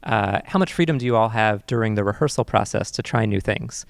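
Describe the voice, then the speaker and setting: A man interviews conversationally as host. His voice is fairly low with a noticeable creak, and the sound is dry and close. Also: brisk, no echo.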